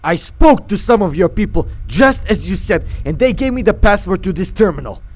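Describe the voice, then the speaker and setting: Man playing an animated, halting wasteland adventurer. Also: high-pitched. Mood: alarmed, indignant. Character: earnest wasteland adventurer, male, a sweet-talking rescuer. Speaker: roleplaying video gamer. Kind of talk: improvised in-character game roleplay.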